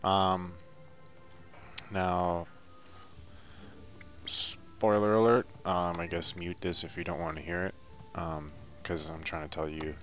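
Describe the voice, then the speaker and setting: A man's voice: sits low, flat delivery, slow, pauses often, fairly bright tone, soft background music. A man giving a casual, unscripted video game walkthrough in a loose, hesitant, laid-back way. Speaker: video game guide narrator.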